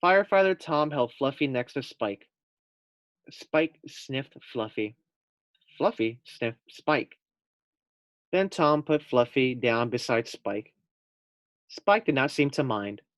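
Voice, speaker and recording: Gentle man reading a storybook aloud. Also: mid-range voice, animated, dry recording. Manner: gentle, unhurried, with friendly asides. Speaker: teacher. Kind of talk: reading a picture book aloud to first graders.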